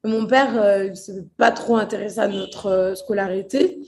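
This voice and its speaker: A woman's voice: mid-range voice, moderate pace, a little mellow. A young woman from Portugal talking about her life as an interview guest, hesitant, with many fillers and restarts. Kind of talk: casual interview chat.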